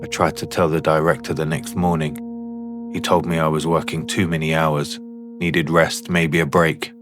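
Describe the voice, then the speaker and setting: A man plays a haunted signal technician with an extremely low voice, narrating a first-person horror story. The delivery is deliberate and comes in short, broken phrases. Music sits clearly behind the voice. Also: flat delivery. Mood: haunted, uneasy.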